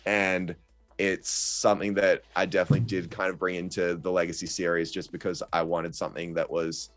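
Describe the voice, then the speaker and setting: Deep-voiced young man, halting chat. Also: flat delivery, soft background music. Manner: hesitant, many ums and restarts, laughs easily. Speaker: guest content creator. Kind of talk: casual podcast chat.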